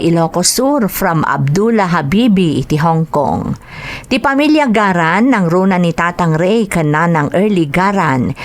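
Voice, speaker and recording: A woman with an Ilocano accent, hosting a radio drama program and reading out listener greetings. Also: fairly low pitch. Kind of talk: reading listener shout-outs and a letter.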